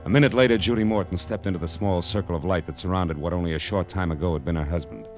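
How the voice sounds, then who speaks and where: A man's voice: deep voice, quick pace. An actor playing a tough, wry private detective in a 1940s radio drama, clipped and hard-boiled as he tells his case. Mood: grim narrating. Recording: dull old radio recording, music behind.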